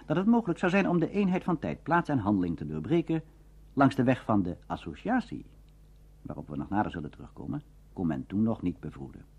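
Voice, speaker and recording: A man narrating a scripted documentary lecture in a formal, measured manner. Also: on the low side, very animated, darkish tone, slightly rough.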